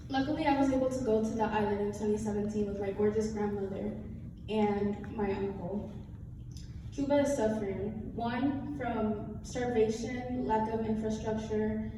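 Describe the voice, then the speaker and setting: A young woman from Spain giving a composed, prepared answer as a pageant contestant. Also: fairly high pitch. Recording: echoey hall from far off, noisy.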